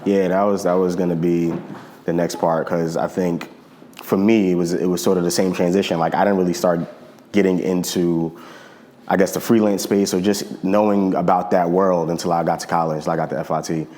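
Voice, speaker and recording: Deep-voiced laid-back male host. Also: flat delivery, brisk, warm tone. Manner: laid-back, slangy, repeats words. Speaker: interview host. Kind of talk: casual interview chat.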